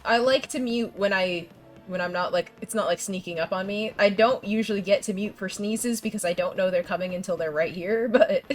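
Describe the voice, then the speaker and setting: A woman's voice: mid-range voice, moderate pace, faint music behind. A young woman streaming a game and chatting with viewers, congested from a coming cold, rambling with restarts and laughs. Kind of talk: casual gameplay chat with viewers.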